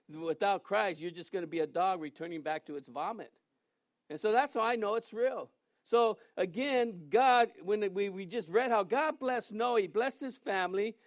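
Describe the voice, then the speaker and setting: A man in his sixties preaching a sermon in a joking, conversational way, reading scripture aloud slowly between asides. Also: high voice, no room sound. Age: 60s. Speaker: pastor.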